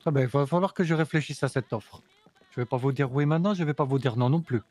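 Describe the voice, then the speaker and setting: A man voicing a composed, businesslike supplier with a German accent, talking in measured sales patter. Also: mid-range voice, a little mellow, dry recording, close to an everyday voice. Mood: calm selling. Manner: smooth, measured salesman talk. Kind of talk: roleplay in character.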